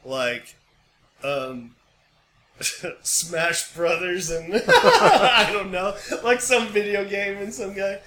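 A man's voice: sits high, very animated, extremely slow, sharp tone. An American man co-hosting a podcast in casual, slangy, sweary conversation. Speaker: podcast co-host. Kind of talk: casual chat. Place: home studio. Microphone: podcast microphone. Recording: clearly noisy amateur podcast recording.

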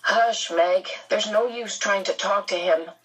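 A woman voicing a determined, protective teenage boy in an audiobook, speaking with low, urgent, steadying earnestness. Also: measured pace, fairly bright tone, slightly rough, far from the mic, rough recording.

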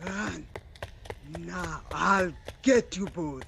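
A woman's voice: lowish voice, gravelly, fairly bright tone, exaggerated voice. A woman playing a menacing, child-eating old witch in a radio play, drawing out her threats. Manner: slow, drawn-out threats.

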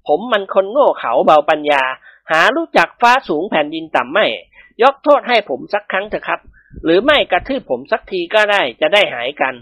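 A woman voicing a meek, fawning young male servant who pleads slowly in a lowered voice. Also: very animated, fairly bright tone, no echo. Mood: pleading.

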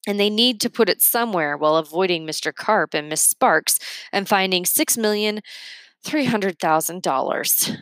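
A woman's voice: mid-range voice, expressive, normal speed, a bit bright, no room sound. A woman reading a children's book aloud as a storyteller. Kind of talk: read-aloud storytelling with character voices.

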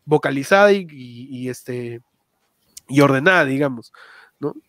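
A man's voice: mid-range voice, animated, measured pace, fairly bright tone, no room sound. A man chatting casually about stand-up comedy, rambling, restarting often and using lots of slang. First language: not Spanish.

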